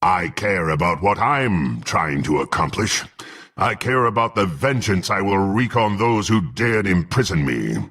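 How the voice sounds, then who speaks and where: A menacing, proud half-orc fighter from a fantasy game. He talks slowly and formally in a gruff, husky voice, swinging from growls to haughty commands. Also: low voice, expressive, fairly bright tone, exaggerated voice. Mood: scornful. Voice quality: gruff, gravelly. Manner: haughty, threatening, formal archaic speech. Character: menacing proud half-orc warrior sworn to dark patron. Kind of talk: dramatic fantasy game voice acting.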